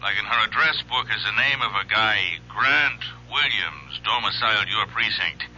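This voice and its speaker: A man's voice: fairly low pitch, lively intonation, normal speed, bright, forceful tone, slightly rough, heavily acted. An actor playing a businesslike, weary male homicide cop in an old radio drama, speaking in terse, matter-of-fact phrases. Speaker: police detective. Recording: muffled, hissy old radio recording.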